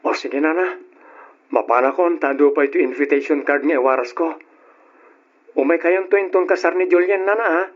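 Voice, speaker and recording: A man playing a humble, polite young janitor in an old radio drama, with a husky voice. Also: fairly high pitch, normal speed, pauses often, highs cut off, natural voice. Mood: polite, bashful.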